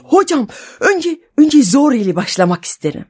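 French-accented woman voicing an eager young male pupil, pitched up high, imploring. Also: big pitch swings, normal speed, music in the background.

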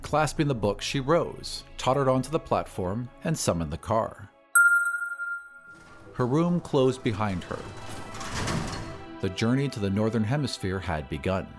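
A man narrating a story in a deliberate, literary, dramatic scripted style. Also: fairly low pitch, lively intonation. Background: music.

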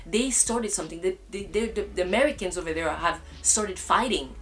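Quick-talking young woman from Cameroon. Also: fairly low pitch, fairly bright tone, faint music behind, poor audio. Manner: emphatic, fires off rhetorical questions. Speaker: podcast co-host. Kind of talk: casual chat.

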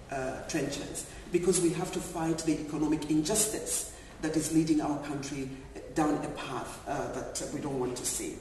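Panel speaker, male, from Zambia; measured, thoughtful delivery; heard from a distance in a big echoey room. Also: on the high side, a little mellow, slight background noise. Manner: measured, reflective, with frequent fillers. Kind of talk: panel discussion remarks. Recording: echoey hall, distant mic.

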